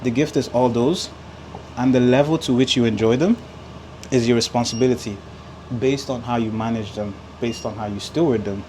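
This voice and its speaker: A man who grew up speaking Igbo, hosting a casual podcast chat and talking in loose, animated runs full of "like" and repeated words. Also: medium pitch, normal speed, a little hiss or hum, rough recording. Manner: animated, talks with filler likes and repeats words. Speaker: podcast host.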